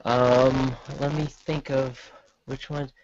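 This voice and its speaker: A man chatting casually as a podcast guest, halting and self-deprecating. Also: mid-range voice, flat delivery, no room sound. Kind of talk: casual chat. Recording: dull, lo-fi call audio.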